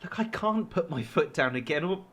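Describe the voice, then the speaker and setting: A man's voice: a little high, expressive, moderate pace, fairly bright tone. A man acting in an audio drama as a defensive, anxious victim of a controlling partner, hesitant and earnest. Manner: hesitant, earnest, self-justifying.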